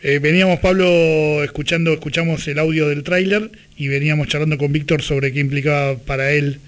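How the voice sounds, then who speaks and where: A man's voice: on the high side, steady tone, a little fast. An Argentine man hosting a conversational radio interview. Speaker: radio show host.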